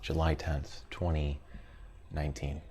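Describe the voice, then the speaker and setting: A man's voice: extremely low pitch, flat delivery, a little slow. A man hosting a live online radio show, chatting casually with callers in an easygoing way.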